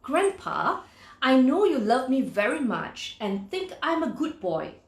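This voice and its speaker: A woman's voice: sits high, sing-song, unhurried, reverberant, full character voice. A woman voicing a shy, earnest little boy tapir from a children's storybook, speaking hesitantly. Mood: shy, confiding.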